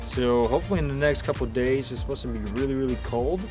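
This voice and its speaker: A young man from Ukraine talks in a loose, easygoing vlog manner at a middling pitch. He is recorded outdoors with clear music playing behind him. His phrasing is relaxed and full of casual filler. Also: quick pace. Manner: laid-back, chatty, loose phrasing with "dude" and "like". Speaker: RC car channel host. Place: outdoors, windy day.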